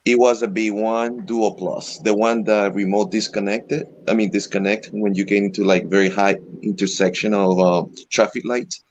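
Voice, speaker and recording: Low-voiced Venezuelan-raised man, halting delivery. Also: level tone. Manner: halting, frequent "you know" fillers. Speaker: interview guest, electric skateboard rider. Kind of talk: casual video call interview.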